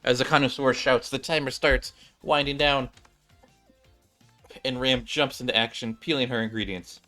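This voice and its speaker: A male gaming streamer with a mid-pitched, bright and forceful voice. He reads story text aloud and comments casually on the playthrough, with music behind him. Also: steady tone, moderate pace.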